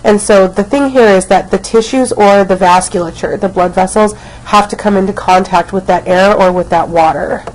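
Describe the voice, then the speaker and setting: A woman's voice: medium pitch, normal speed, hardly any pauses, poor audio. A woman teacher recording a casual biology lecture, snuffly from a cold, with many ums as she talks. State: has a cold, snuffly and coughing. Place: at home, not at school.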